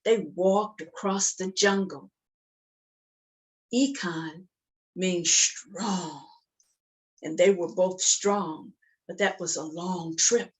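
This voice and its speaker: A woman reading a story aloud to young children in an expressive, deliberate, interactive storytime style. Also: fairly low pitch. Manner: expressive, deliberate, with acted sound effects and call-and-response.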